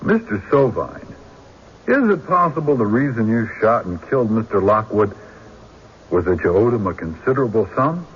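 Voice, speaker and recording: An actor playing a shrewd country defense lawyer in a radio drama, deliberate and rhetorical, pressing pointed questions. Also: male voice, fairly low pitch, sing-song, slightly rough, exaggerated voice. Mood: pointed questioning. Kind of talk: old-time radio drama acting. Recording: dull, noisy radio drama recording.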